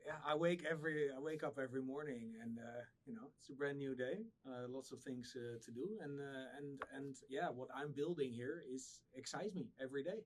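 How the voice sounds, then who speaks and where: A middle-aged man from the Netherlands with a Dutch accent, answering interview questions conversationally and a little haltingly. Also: mid-range voice, slight background noise, low-quality recording. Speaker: interview guest, startup founder.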